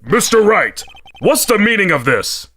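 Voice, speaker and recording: A man's voice: sits high, sing-song, a little slow, pushed and bright, slight background noise, exaggerated voice. A man voicing a fussy older male judge who speaks formally and pompously. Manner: formal, pompous, easily swayed. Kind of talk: fan dub game voice acting. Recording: with background music.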